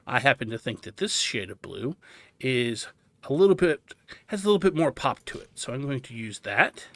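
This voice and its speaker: Bright-voiced man giving a game walkthrough. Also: medium pitch, very animated, moderate pace, dry recording. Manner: explanatory, thinking aloud. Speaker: video game tutorial host. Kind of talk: casual gameplay walkthrough commentary.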